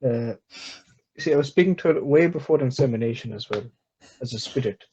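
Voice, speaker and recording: A man voicing a boastful, self-styled male herbal doctor who brags in a pompous, deadpan way, on a dull lo-fi recording. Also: medium pitch, fairly quick, slight background noise, close to an everyday voice.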